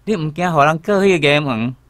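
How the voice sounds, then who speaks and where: A man with a Taiwanese accent playing a reasonable gentleman, a placating father in a radio drama. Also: fairly high pitch, no room sound, close to an everyday voice. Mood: reasoning. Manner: measured, reasoning.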